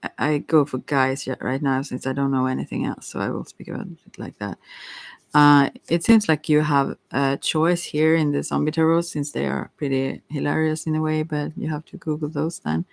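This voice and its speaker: Halting, creaky-voiced tarot reader, very close and dry. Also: mid-range voice, steady tone, no room sound. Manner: hesitant, halting, apologetic, with frequent self-interruptions. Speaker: tarot card reader, ASMR host. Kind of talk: rambling ASMR tarot reading.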